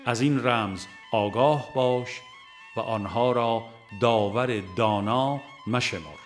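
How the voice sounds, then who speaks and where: A man narrates a religious audiobook in a formal, solemn register, with a mid-pitched voice. His phrasing is measured and deliberate. Soft plucked-string music plays faintly behind him.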